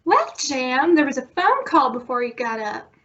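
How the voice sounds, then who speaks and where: Casting: actress as 1950s radio sitcom wife, very high voice with lilting range, lo-fi vintage sound. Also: female voice, sing-song, normal speed, fairly bright tone. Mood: coy, reporting. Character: 1950s sitcom wife calmly breaking news to husband. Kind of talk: old-time radio comedy acting. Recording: dull lo-fi old-time radio sound.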